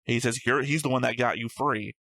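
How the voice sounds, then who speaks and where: A man's voice: mid-range voice, fairly even intonation, fairly quick, vocal fry, pushed and bright, no room sound. A man co-hosting a reaction show, reasoning aloud in a casual, argumentative discussion of story theories. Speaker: co-host of an anime reaction show.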